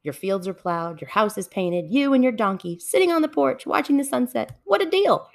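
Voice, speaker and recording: A woman voicing a clever, mischievous male fox salesman with excitable, wheedling patter in a storybook. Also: fairly high pitch, moderate pace, creaky, voice pushed up. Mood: persuasive.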